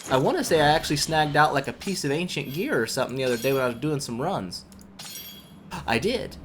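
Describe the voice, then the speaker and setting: A young man giving relaxed, rambling commentary while playing a mobile game, a bit congested from a cold, with lots of filler words and self-mocking asides. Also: on the high side, fast, slight background noise. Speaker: gaming YouTuber. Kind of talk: casual gameplay commentary.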